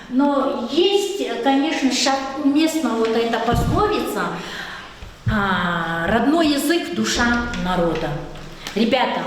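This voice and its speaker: A middle-aged woman teaches a class in a high, bright voice. She keeps asking the pupils questions and praising their answers. The sound rings around the room and reaches a distant camera mic. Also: slight background noise. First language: Lezgian. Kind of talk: classroom lesson. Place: echoey classroom. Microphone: far camera. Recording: echoey room picked up from far off.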